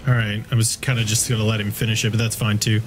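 A man in his thirties chatting casually while playing a video game, swearing freely and often restarting his sentences in a rambling, halting way. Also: fairly low pitch, level tone, over music. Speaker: video game player and co-host. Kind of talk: casual gaming chat.

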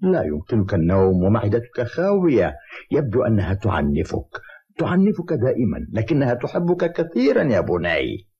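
A kindly old grandfather in a cartoon. His voice is warm and dark, with expressive rises and falls and a gentle, protective manner. The sound is slightly dull, with music underneath. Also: lowish voice, normal speed, breathy edge, exaggerated voice. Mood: gentle, caring. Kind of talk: Arabic cartoon dubbing. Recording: muffled old cartoon audio, music behind.

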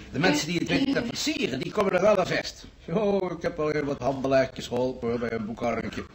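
A man's voice: on the high side, slight background noise, close to an everyday voice, rough recording. A man playing a smug, chatty bookkeeper in a radio play, talking in a pompous, self-justifying, repetitive way. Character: smug, chatty male bookkeeper, a hospital patient. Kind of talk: Dutch radio drama acting.

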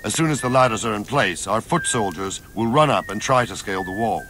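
An actor playing a grizzled older soldier in a video game, blunt, fatherly and resolute, with a measured, commanding delivery. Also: on the low side, lively intonation, noisy background. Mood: resolute, instructing. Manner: measured, plain-spoken, commanding. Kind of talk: dramatic video game cutscene acting. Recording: music behind the voice.

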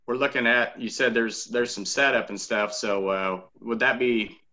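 A man's voice: mid-range voice, normal speed, bright, forceful tone. A man from Illinois asking a beekeeping host hesitant questions on a video call. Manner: hesitant, with many fillers. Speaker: caller. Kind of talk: casual video call chat. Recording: dull lo-fi video call audio.

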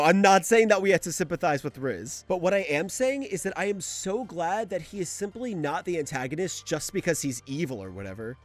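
Casual higher-pitched man, lively video essayist. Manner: informal, opinionated, conversational. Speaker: host of a manga analysis channel. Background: background music.

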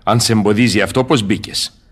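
A world-weary old scholar from a radio play acted by a man, with a low voice. He declaims his verse gravely, bitter yet commanding. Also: steady tone, lightly acted. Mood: commanding, curious. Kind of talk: Greek radio theatre verse acting.

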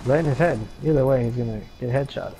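A young man banters casually during an online game. His mid-range voice is slangy and joking. Game noise and clicking are clearly heard behind him. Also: mid-range voice, fast. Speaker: gamer playing an online shooter.

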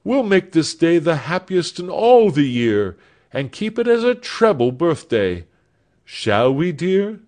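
A man's voice: fairly high pitch, sing-song, slow, darkish tone, voice pushed up. A man playing an earnest young man bidding a heartfelt farewell to those he loves. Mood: fervent. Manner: fervent, heartfelt. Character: earnest young man, devoted lover departing.

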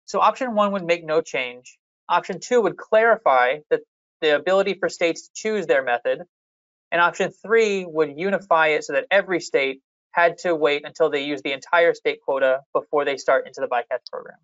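A fishery management staff member giving a measured, methodical walkthrough of policy options in a public hearing slide presentation. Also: mid-range voice, fairly bright tone, no echo, muffled recording.

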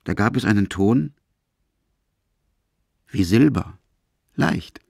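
A man's voice: lowish voice, expressive, slow pace, vocal fry, a little mellow, dry recording, studio quality. A man narrating a literary radio drama as its storyteller.

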